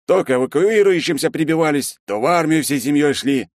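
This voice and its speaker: A man doing a booming, blunt old glutton. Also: lively intonation, a little fast, dry recording, clean studio sound, lightly acted. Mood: scornful. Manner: booming, mocking. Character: loud, blunt older man, gluttonous and scornful.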